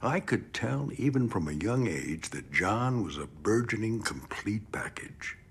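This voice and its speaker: An actor playing a gritty, middle-aged decorated military trainer in an audio drama, gruff and plain-spoken with emphatic, measured praise. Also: male voice, on the low side, sing-song, full character voice. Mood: proud, impressed. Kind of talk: audio drama interview acting.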